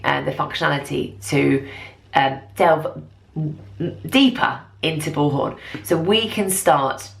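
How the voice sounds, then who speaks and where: A woman with a low voice and very strong creak runs through an informal business presentation. She explains things like a consultant who is keen on the material, in an enthusiastic and conversational way. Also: low-quality recording. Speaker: recruitment software consultant presenting.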